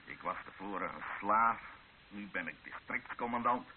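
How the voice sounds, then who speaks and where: An actor playing a bitter, menacing rebel district commander in an old radio drama, with a stern, mocking, declamatory delivery. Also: male voice, on the low side, expressive, moderate pace, lightly acted. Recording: hissy, narrow-band old radio drama recording.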